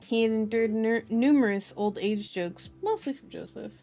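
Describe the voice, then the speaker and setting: A woman's voice: on the high side, moderate pace, warm tone. A woman doing a casual ASMR book reading, chatting playfully to viewers with informal asides and correcting herself as she reads. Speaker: streamer, reader. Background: background music.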